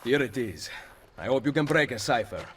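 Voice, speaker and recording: A man acting a determined Italian assassin leader in a game cutscene. His mid-pitched voice carries an Italian accent and confident bearing, ringing in a long reverb over faint music. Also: sing-song, moderate pace, close to an everyday voice. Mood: calm, assured.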